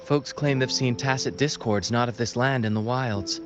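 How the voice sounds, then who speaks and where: A man voicing a game character, a troupe member who explains things in a measured way. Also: medium pitch, music in the background, close to an everyday voice. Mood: serious, concerned. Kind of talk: scripted game dialogue.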